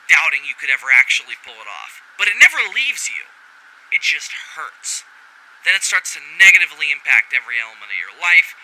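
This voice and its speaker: Man playing a bright, brash rogue scientist. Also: fairly high pitch, heavily acted, rough recording. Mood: impassioned. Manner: rambling, wry, theatrical, self-interrupting. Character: brash, foul-mouthed rogue male scientist, giddy and rebellious. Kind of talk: sci-fi audio drama monologue, recorded-log confession. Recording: faint background alarm and machinery noise.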